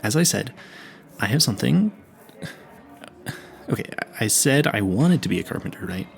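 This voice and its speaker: A man's voice: mid-range voice, big pitch swings, normal speed, creaky. A man playing a nervous, clueless young vampire hunter in an audio roleplay, stammering and easily sidetracked as he earnestly chats to the listener. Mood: confiding, sheepish.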